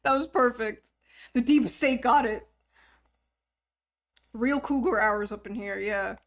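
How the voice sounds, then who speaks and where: Teasing, foul-mouthed streamer woman. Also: on the high side. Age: 30s. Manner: teasing, profane, quick to laugh. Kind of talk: casual livestream chat.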